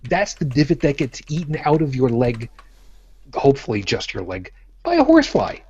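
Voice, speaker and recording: A man's voice: mid-range voice, huge pitch swings, moderate pace, a little mellow, husky, muffled recording. A man from co-hosting a live show in casual chat, blunt and profane. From Brooklyn, New York. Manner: blunt, jokey, profane, with many restarts. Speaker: podcast co-host.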